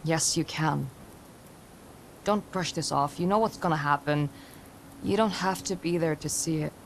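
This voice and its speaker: Guarded, low-key young heroine. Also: female voice, unhurried, slight background noise. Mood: earnest. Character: guarded young heroine with powers, dry and resolute. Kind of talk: video game cinematic acting.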